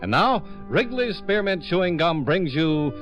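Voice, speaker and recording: A man reading scripted 1950s radio sponsor announcements in a polished, measured sales manner. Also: fairly high pitch, sing-song, a bit bright, lo-fi sound. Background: music.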